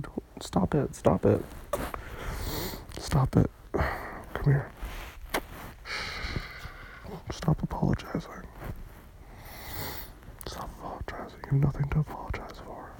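A person playing a tender, protective romantic partner in an intimate comfort roleplay, speaking in hushed, soothing, breathy murmurs. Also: mid-range voice, rough recording. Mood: earnest, insistent.

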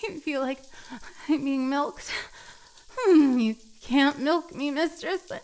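A woman playing a submissive, needy cat girl in an intimate adult audio roleplay, halting and breathy as she pleads. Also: high-pitched, darkish tone. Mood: flustered, pleading.

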